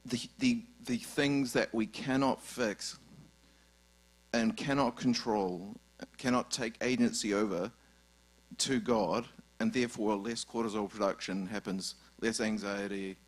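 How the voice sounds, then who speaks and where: A man's voice: lowish voice, steady tone, a little slow, rough recording. Hesitant New Zealand man asking. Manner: hesitant, many restarts and fillers. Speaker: audience member asking a question. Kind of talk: live question from the floor.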